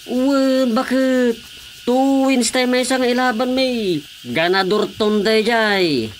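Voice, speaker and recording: Sly scheming cockfight gambler. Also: male voice, very high-pitched, slow pace, slight background noise. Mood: sly, boastful. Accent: Ilocano. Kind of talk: Ilocano radio drama comedy acting.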